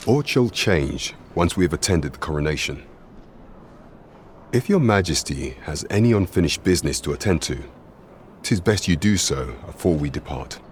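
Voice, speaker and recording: Fantasy game royal advisor: very deep, grave, measured and courtly, archaic diction, slight ambient noise behind. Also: male voice, lively intonation. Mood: formal, courteous. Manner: formal, deferential, measured.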